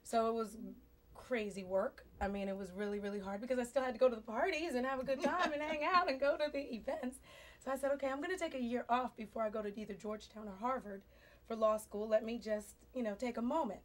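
A woman speaks with a hoarse, laryngitis-roughened voice, pitched a little high. She is a talk show guest on a studio set, telling her story in a lively way and laughing often. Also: fast talker. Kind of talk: casual talk show interview. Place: large television studio set.

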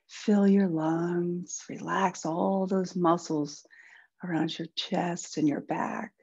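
Slow, breathy woman guiding relaxation. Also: lowish voice, lo-fi sound. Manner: soothing, gentle, unhurried with pauses. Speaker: guided relaxation instructor. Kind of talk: guided body-scan meditation.

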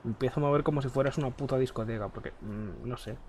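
A man's voice: mid-range voice, fast talker. A man with a Spain Spanish accent rambles and swears his way through a gameplay session. His throat keeps catching, and the headset mic sits close to his face. A faint hiss runs underneath. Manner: rambling, crude, excitable. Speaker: gaming streamer. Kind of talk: casual gameplay chat.

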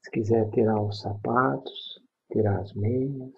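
A Brazilian man leads a relaxation exercise at a very slow, unhurried pace. His voice is husky and breathy, at a medium pitch, with calm gaps between short instructions. The recording is dull and a little muffled. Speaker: guided meditation narrator. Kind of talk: guided sleep meditation, soothing instruction.

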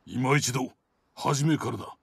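Slow, solemn older samurai master. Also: male voice, medium pitch, gravelly, breathy edge, no echo. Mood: stern, instructive. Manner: solemn, measured, commanding. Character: stern, calm older male samurai sword master. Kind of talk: samurai game drama acting.

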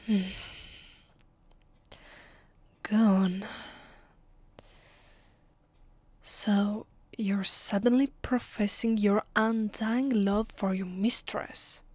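A woman plays a haughty female vampire with an Italian accent in an intimate ASMR roleplay. She speaks slowly and deliberately, soft and half-whispered, possessive yet secretly tender. The sound is very dry and close. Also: medium pitch, husky, no echo. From Italy. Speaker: voice actress.

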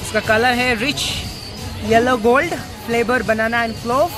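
A man's voice: very high voice, steady tone, takes it slowly. A man in his thirties vlogging on a handheld phone, mixing Hindi and English as he reads out a menu. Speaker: food vlogger. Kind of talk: casual restaurant vlog. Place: busy brewery restaurant. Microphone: handheld phone camera. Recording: loud music and crowd chatter.

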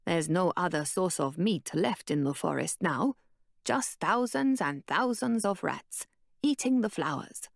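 A woman voicing a calm, cryptic old woman who speaks in a low, hushed, measured way. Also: creaky, no echo, clean studio sound, exaggerated voice.

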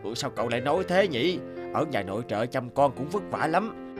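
Casting: male actor for level-headed cartoon colleague, earnest, measured explainer, music behind the voice. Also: medium pitch, sing-song, lightly acted. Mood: earnest, reproving. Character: patient, sensible male office colleague. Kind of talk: animated moral-tale voice acting.

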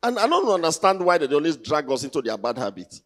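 Nigerian stand-up comedian, higher-pitched man. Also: sing-song, fast talker, fairly bright tone. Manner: conversational, teasing, rhetorical questions to the crowd. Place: large indoor theatre stage. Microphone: handheld stage microphone.

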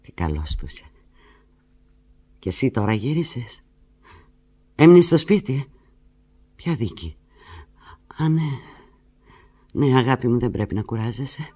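An actress playing an anguished, lovelorn woman alone on the telephone in a theatrical radio monologue, pleading and fretful, with halting replies. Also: extremely low pitch, sing-song, mellow, slightly rough, full character voice. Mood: subdued, tender.

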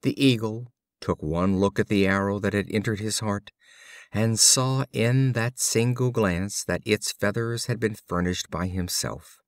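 A man reading fables as scripted audiobook storytelling, with measured, deliberate phrasing. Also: lowish voice, no room sound, clean studio sound. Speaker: audiobook reader.

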